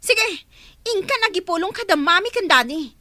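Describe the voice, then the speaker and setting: Dorina, a shrill scornful young woman. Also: lively intonation, normal speed, pushed and bright, no echo, studio quality, full character voice. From Philippines. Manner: scornful, biting retorts. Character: haughty young woman, sharp-tongued and resentful. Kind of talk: radio drama acting.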